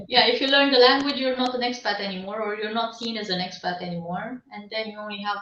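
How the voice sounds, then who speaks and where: Female guest, Romanian-born, candid, a bit high-pitched, heard from far off on a call. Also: fairly even intonation, brisk, a bit bright. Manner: laughs often, candid and self-deprecating. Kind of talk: casual interview answers. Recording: distant, roomy, dull video call audio.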